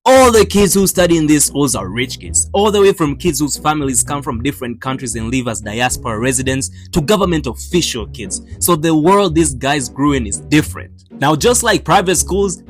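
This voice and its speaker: A young man from Tanzania, narrating casually in a slangy, joking, conversational way. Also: fairly high pitch. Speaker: YouTube storyteller. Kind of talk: casual scripted narration for animated video. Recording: background music under the voice. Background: music.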